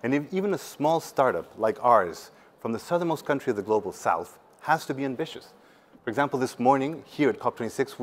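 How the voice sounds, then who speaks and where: A man around forty, speaking earnestly and emphatically in a conversational conference talk. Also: mid-range voice. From Patagonia, Chile. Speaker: startup representative, panel speaker. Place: seated on a conference stage indoors.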